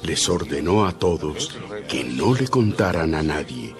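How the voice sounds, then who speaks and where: A man with a very low voice narrates a dramatized audio Bible. His delivery is expressive and rises and falls widely, telling the story with emphasis over loud music. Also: unhurried.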